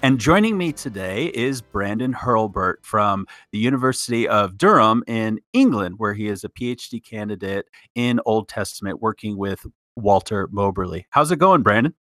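A man's voice: on the low side, expressive, normal speed, hardly any pauses, fairly bright tone, no echo, studio quality, soft background music. A man hosting a podcast, introducing his guest and asking questions in an upbeat way. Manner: upbeat, brief affirmations. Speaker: podcast host. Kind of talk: podcast interview.

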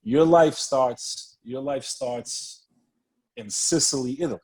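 A man's voice: mid-range voice, slow. A man hosting a podcast, interviewing casually in a streetwise, profane way and often repeating his phrases. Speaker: podcast host.

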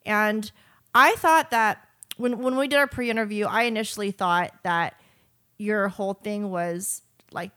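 A woman hosting a podcast, interviewing in a casual, informal and somewhat halting way. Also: mid-range voice, a bit bright. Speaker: podcast host.

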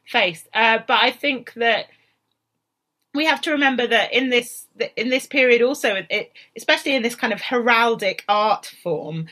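A woman's voice: a little high, normal speed, pushed and bright. A woman talking as a historian in a panel discussion, thinking aloud and often circling back over her phrases. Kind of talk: conversational expert discussion.

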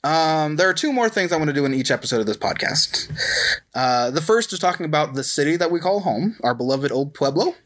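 A man's voice: on the high side, lively intonation, a little fast, a bit bright. A man in his thirties hosting a casual podcast chat in a jokey, self-deprecating way. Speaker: podcast host.

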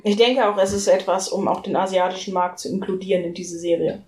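A woman's voice: on the low side, fairly even intonation, fast talker, darkish tone. A woman speaking colloquially in a casual discussion, giving blunt opinions as a co-host. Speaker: co-host of a discussion about a TV series. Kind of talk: casual chat, critical series discussion.

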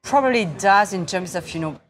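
A woman, an investor speaking in an onstage interview, measured and halting with many fillers. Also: mid-range voice, expressive. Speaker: investor, fund founder. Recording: crowd murmur in the background.

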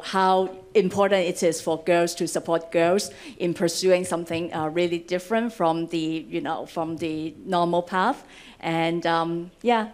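Middle-aged Hong Kong woman, lowish voice. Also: a little mellow. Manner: hesitant, many ums. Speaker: panelist, fund head. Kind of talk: panel discussion, mixing Cantonese and English.

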